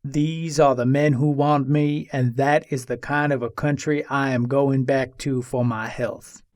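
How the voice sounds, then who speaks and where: A man's voice: mid-range voice, fairly even intonation, a little slow, a little mellow, dry recording, natural voice. A man playing an embattled Old West gunslinger who pleads his case from jail in formal, old-fashioned storytelling, in a dramatic reading. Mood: accusing, resentful.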